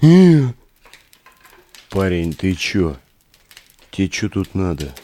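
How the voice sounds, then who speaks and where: An actor playing a needling, gruff relic digger in an audio drama, sarcastic and slangy as he taunts. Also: low-pitched, very animated, slow pace, warm tone, heavily acted. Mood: wary.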